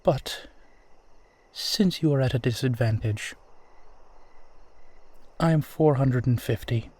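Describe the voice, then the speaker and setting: A man playing a suave, centuries-old vampire in a roleplay audio drama, speaking formally, with old-fashioned courtesy and gentle teasing. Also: medium pitch, takes it slowly, breathy edge. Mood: wistful, courtly. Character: suave, courtly centuries-old male vampire who looks twenty-eight.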